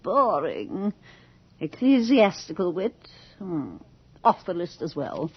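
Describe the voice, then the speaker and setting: A woman's voice: on the low side, sing-song, slow pace, slightly rough, full character voice. A woman acting a bored, witty, imperious aristocratic widow in a period radio play, with a languid, theatrical drawl. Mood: scornful. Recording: muffled 1980 radio drama with music.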